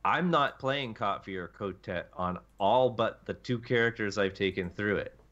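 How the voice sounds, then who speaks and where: Male gaming podcaster, lowish voice with a sharp edge, emphatic and long-winded, casual delivery over lo-fi chat audio. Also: moderate pace. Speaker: podcast panelist. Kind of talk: casual panel discussion about a video game.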